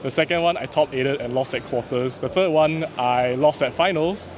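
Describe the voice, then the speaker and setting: A man who grew up in Singapore, explaining his card game matches in a calm, measured, analytical way. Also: medium pitch. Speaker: tournament player being interviewed. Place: busy card game tournament venue. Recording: busy crowd noise behind. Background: crowd chatter.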